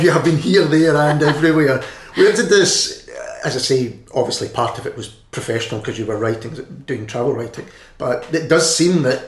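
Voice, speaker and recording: A man interviewing an author, asking long, rambling, enthusiastic questions. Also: medium pitch. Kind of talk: interview conversation. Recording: echoey room, faint noise.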